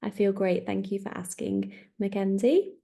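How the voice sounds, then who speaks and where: A woman's voice: mid-range voice, steady tone, normal speed, creaky, warm tone, slightly rough. A woman in her thirties, a podcast host, chatting casually and rambling at home, correcting herself as she goes. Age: 30s. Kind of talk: casual solo podcast chat.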